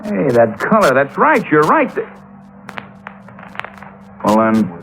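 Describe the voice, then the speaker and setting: Blunt, gruff radio police lieutenant. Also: male voice, a little high, wildly swooping pitch, slow pace, fairly bright tone, soft background music, heavily acted. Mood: puzzled. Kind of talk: 1950s radio crime drama acting. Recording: noisy old radio recording.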